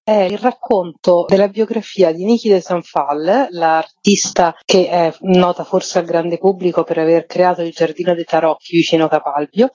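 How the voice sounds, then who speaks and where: A woman's voice: fairly low pitch, mellow, no echo. A woman interviewed on the radio as a playwright, explaining her work. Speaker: guest, playwright. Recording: muffled, slightly lo-fi radio interview audio.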